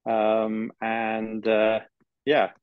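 A man recovering from knee surgery, introducing himself on a video call in a halting way full of fillers. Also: lowish voice, completely flat delivery, clear, resonant tone, dry recording, phone-quality sound. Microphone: handheld laptop webcam.

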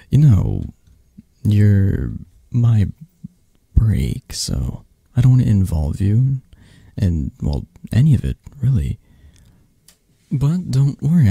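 Male actor as a possessive young mafia boss for romantic ASMR: very dark, soft tone, gravelly fry, hesitant and coaxing. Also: fairly low pitch, no echo, clean studio sound, heavily acted. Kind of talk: ASMR romantic roleplay, intimate and soft-spoken.

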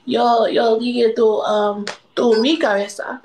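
A young woman with an American accent, a native English speaker, hosting a casual chat with a hesitant, coy, deadpan delivery. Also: fairly high pitch, takes it slowly, a little hiss or hum. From California, United States. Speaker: livestream host. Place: room indoors. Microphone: phone at arm's length.

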